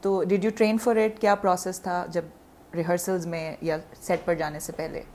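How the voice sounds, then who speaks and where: A woman's voice: lowish voice, flat delivery, unhurried, mellow, slight background noise. A woman with a Pakistani accent interviewing guests in a conversational way, mixing in English.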